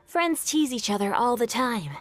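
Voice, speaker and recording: A woman playing a calm, reassuring young friend in a video game cutscene. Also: a little high, moderate pace, faint music behind, natural voice.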